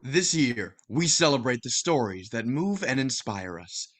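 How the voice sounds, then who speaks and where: A man's voice: medium pitch, big pitch swings, measured pace, a bit bright. Man playing a formal awards show presenter. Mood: ceremonial. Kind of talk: staged play reading, ceremonial announcement.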